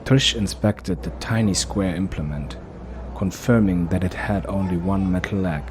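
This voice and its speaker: A man narrating a fantasy audio drama in a measured, unhurried storytelling manner. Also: low voice, noisy background.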